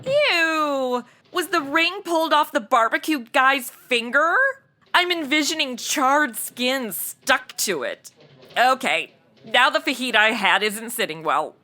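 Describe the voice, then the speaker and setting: An actress playing a flighty, self-absorbed young podcaster in a comedy audio drama, chatty, slangy and gushing. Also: female voice, high voice, expressive, takes it slowly, sharp tone, full character voice. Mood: grossed out. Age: young adult.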